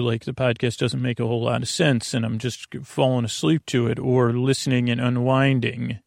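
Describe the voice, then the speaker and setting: A man hosting a bedtime podcast in a rambling, halting monologue, circling back and losing his thread, with a creaky, gravelly voice. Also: medium pitch, no room sound, studio quality. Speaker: podcast host. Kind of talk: rambling bedtime monologue to help listeners sleep.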